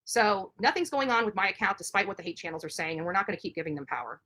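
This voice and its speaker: A woman speaks at a rapid clip in a bright, forceful voice. She is a live streamer on an indignant rant, hammering points home by repeating them. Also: mid-range voice, animated. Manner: emphatic, indignant, repeats herself.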